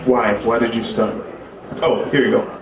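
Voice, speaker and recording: A man's voice: medium pitch, fairly even intonation, moderate pace. Male host interviewing on a live stage, stammering and easygoing, heard from afar in a roomy space with an audience behind. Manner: hesitant, stumbling over his words. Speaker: talk show host. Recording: echoey room from a distance with a crowd behind.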